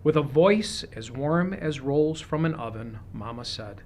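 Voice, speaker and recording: A man with a fairly high voice reads a picture book aloud to young children. His phrasing is expressive and deliberate, in the manner of a storybook reader.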